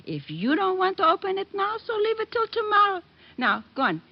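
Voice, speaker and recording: A dull old radio recording features an elderly family matriarch, high-pitched and very animated. She scolds and orders people about, affectionate beneath the bossiness. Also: brisk, gravelly, exaggerated voice. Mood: scolding. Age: older. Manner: scolding, bossy. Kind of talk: 1940s radio drama acting.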